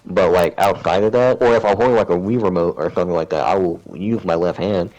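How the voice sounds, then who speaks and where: A soft-spoken man with a deep, gravelly voice, chatting in a rambling, hesitant way full of fillers and restarts. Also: fast talker, low-quality recording. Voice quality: deep, gravelly voice kept raised. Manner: soft-spoken, self-described shy. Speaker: gamer chatting on stream. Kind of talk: casual chat.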